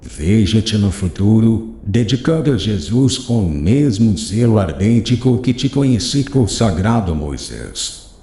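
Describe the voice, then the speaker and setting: Man doing old rabbi, solemn and fatherly, low dark voice, roomy echo, audiobook. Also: very animated. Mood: solemn counsel. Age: older. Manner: solemn, paternal, measured. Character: old wise male rabbi, gentle teacher. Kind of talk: audiobook character reading.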